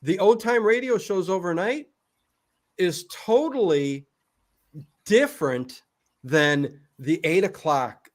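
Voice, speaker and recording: An American man from Cleveland chatting casually as a livestream guest, excitable, often repeating himself and interjecting. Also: high-pitched, slow, long pauses, no room sound. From Cleveland, United States. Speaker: YouTube channel host, interview guest.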